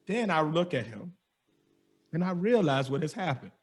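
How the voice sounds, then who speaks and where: A young man hosting a podcast, telling a wild story in casual slang, animated and laughing as he goes. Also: on the high side, moderate pace, husky.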